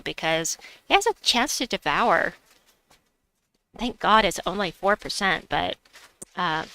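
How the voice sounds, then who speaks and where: A woman's voice: medium pitch, pushed and bright, low-quality recording. Chatty woman with a slight New York accent. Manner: chatty, answers chat as she plays. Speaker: game streamer. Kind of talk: casual live-stream chat while gaming.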